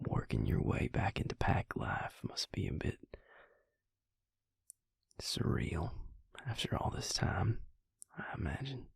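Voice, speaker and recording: Man playing a low, whispering vampire lover. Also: very animated, no echo, studio quality, heavily acted. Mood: tender, reassuring. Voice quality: breathy, gravelly near-whisper. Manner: slow, tender, halting delivery. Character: gentle, devoted adult male vampire lover. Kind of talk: intimate scripted roleplay audio, ASMR-like.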